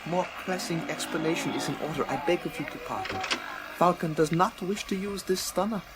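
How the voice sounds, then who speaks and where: An actor playing a courteous, solemn alien detective guide in a radio play, with formal, stilted phrasing and broken English. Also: male voice, fairly high pitch, a little fast, very noisy, close to an everyday voice. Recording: music under the voice.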